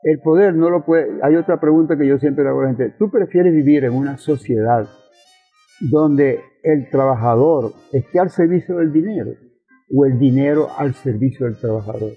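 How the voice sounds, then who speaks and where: Thin, narrow radio sound with music behind an older Venezuelan man. His voice is husky and he explains politics like a lecturer, posing rhetorical questions and repeating his key phrases. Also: mid-range voice, moderate pace. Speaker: interviewed communist politician and professor. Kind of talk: conversational political explanation in an interview.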